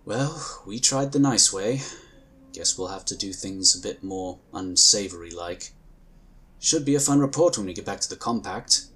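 A man playing a composed, dutiful psychic agent in a sci-fi audio drama, speaking in a formal, earnest, measured way. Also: low voice, animated, fairly bright tone, slight background noise. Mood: wry.

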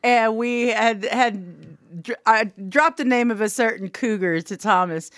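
A woman's voice: on the high side, measured pace, fairly bright tone, no echo, clean studio sound. A woman from Southwest Oklahoma with an Oklahoma accent, co-hosting a radio talk show in a chatty, teasing way. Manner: chatty, laughs often, teasing. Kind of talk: casual chat.

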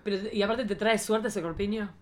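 A woman's voice: medium pitch, a little fast. A woman with an Argentine Rioplatense accent hosting a casual comic chat in a playful, campy, slangy way. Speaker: podcast host.

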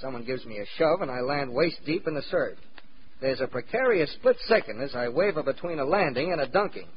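A man's voice: mid-range voice, animated, lightly acted. An actor playing a wry, plucky American war correspondent, narrating his experiences in a dramatic 1940s radio style. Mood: wry, urgent. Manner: dramatic, measured, wry understatement. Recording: muffled, noisy 1940s radio recording.

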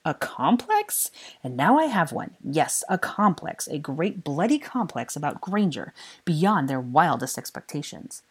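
A woman's voice: sits low, sing-song, normal speed, husky, full character voice. Woman voicing an impassioned, lovesick young man. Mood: exasperated. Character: lovesick, exasperated young man confessing his feelings. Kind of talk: dramatic audiobook character dialogue.

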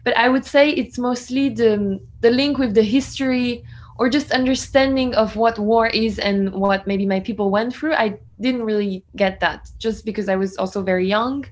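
A woman's voice: fairly high pitch, moderate pace, a little hiss or hum. A woman in her twenties from France, a reporter, answering interview questions in an earnest, reflective way with frequent "you know" fillers. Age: 20s.

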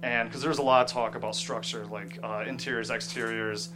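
A man being interviewed as a screenwriter, talking casually and thoughtfully, often pausing to search for words. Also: on the low side, fairly even intonation, noisy background.

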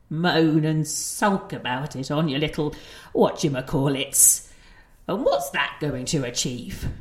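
Audio play: haughty elderly gran, sarcastic, slow delivery with big swoops. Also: female voice, low-pitched, roomy sound. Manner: haughty, clipped retorts, sarcastic. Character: proud, sharp-tongued elderly grandmother, retired nurse, defensive.

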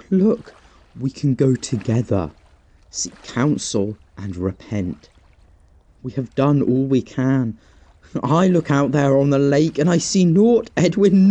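A man's voice: mid-range voice, dark tone. A man playing a remorseful, fearful companion. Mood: incredulous. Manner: solemn, old-fashioned speech rising to desperate pleading. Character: guilt-torn male companion, fearful and remorseful. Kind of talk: archaic dramatic dialogue.

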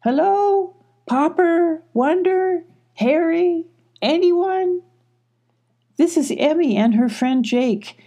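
A woman voicing a gentle, tearful sloth in a children's story, with pleading, drawn-out calls. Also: extremely high pitch, expressive, voice pushed up, full character voice. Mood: hopeful calling. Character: gentle, tearful sloth calling out hopefully for friends.

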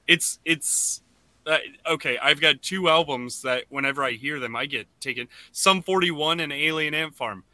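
A man hosting a casual podcast discussion, talking in a halting way and often restarting his phrases. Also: a little high, bright, forceful tone, no room sound. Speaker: podcast host.

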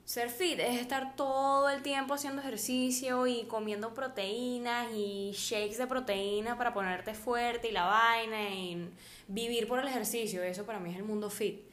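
A woman's voice: on the high side, fairly even intonation, normal speed, a bit bright. A young woman with a Maracaibo Venezuelan accent, co-hosting a casual chat and laughing easily, with lots of "o sea". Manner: chatty, laughs easily, uses fillers like "o sea". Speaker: podcast co-host.

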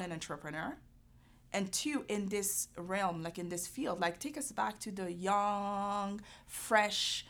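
Woman interviewer, kinda low voice, playful, cheering her guest on. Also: fairly bright tone. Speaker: interview host. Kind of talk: casual interview.

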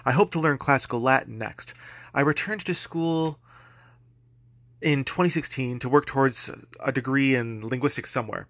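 A man in his thirties introduces himself at a medium pitch in a steady, matter-of-fact tone. The recording is dry and close, with no room sound. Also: moderate pace, no echo. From United States. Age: 30s. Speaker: guest speaker. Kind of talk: prepared self-introduction.

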